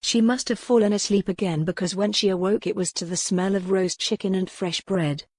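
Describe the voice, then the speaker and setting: A woman's voice: medium pitch, fairly even intonation, a little fast, darkish tone, no room sound, studio quality. A woman reading a historical romance novel as scripted audiobook narration.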